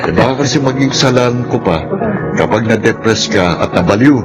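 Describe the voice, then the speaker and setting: A man's voice: mid-range voice, expressive, a little fast, lightly acted. An actor playing a teasing, obliging male friend in a radio drama. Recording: loud music under the voice.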